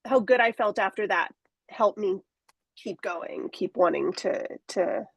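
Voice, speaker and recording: Woman, forties, podcast guest: halting, reflective delivery, close dry recording. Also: medium pitch, vocal fry, slightly rough, no room sound. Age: 40s. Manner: reflective, halting, many fillers and restarts. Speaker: coaching client. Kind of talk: candid conversational interview.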